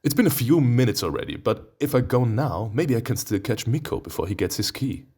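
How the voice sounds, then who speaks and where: A man reading a visual novel aloud, expressive and playful, breaking into casual, profane asides. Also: medium pitch, brisk, heavy background noise. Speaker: let's player. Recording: music behind the voice.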